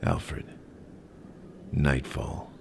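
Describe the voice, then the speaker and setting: An actor playing a grim, commanding masked vigilante in an audio roleplay, giving terse orders in a gravelly voice. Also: male voice, drawn-out words, background noise. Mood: stern, urgent. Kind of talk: ASMR roleplay acting.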